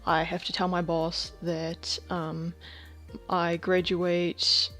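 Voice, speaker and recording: A woman in her twenties from Thailand, talking candidly in a personal video diary, rambling with lots of fillers and soft laughs. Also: on the low side, monotone, slow, soft background music. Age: 20s. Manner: drops to a whisper about housemates. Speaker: vlogger.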